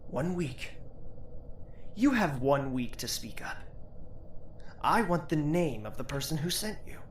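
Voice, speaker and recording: Vengeful royal with huge emotional swings. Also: male voice, a little high, lively intonation, a little slow. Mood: cold, controlled threat. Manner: theatrical, swings between hushed menace and screaming. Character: grieving, vengeful young royal man, coldly menacing then raging. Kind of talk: fantasy audio drama acting. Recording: roomy echo with faint noise.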